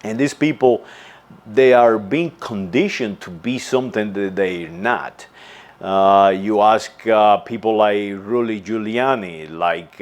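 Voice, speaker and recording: A man delivering an earnest, insistent monologue as a channel host, often stumbling and repeating words before going on. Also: fairly low pitch. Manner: halting, with frequent restarts and repeated words. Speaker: channel host giving a commentary. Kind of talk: unscripted spoken monologue.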